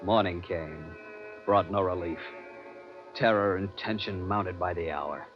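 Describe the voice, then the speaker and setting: Deep-voiced male lead narrator, tense 1950s radio suspense, dull recording with music. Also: measured pace. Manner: tense, grave, dramatic. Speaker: first-person narrator and lead.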